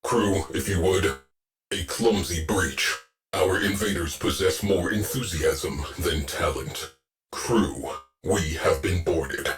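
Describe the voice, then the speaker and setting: A man playing a gruff, sardonic shipboard AI officer in a video game, giving clipped, deadpan military orders. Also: deep voice, slow, low-quality recording. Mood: stern, contemptuous. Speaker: ship's AI crew officer. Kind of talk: scripted video game voice lines.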